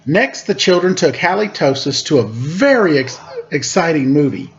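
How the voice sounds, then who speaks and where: A man's voice: on the high side, big pitch swings, muffled recording. A man reading a picture book aloud for story time, playfully and without hurry, stopping for casual asides about the pictures. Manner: playful, unhurried, pausing to comment on pictures.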